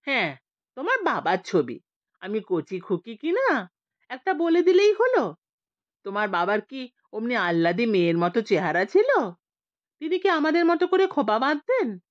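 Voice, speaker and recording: A woman's voice: on the high side, wildly swooping pitch, normal speed, long pauses, no room sound, muffled recording, pitched above the performer's own voice. A woman voicing a jealous, sulky young wife in an audio story, scolding with sarcasm and indignation. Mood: indignant.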